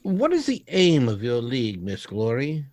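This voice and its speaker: A man's voice: mid-range voice, wildly swooping pitch, moderate pace, darkish tone. A man playing a detached, condescending scientist who speaks matter-of-factly in a 1930s-style radio drama. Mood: dismissive. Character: clinical, coolly detached male robot scientist.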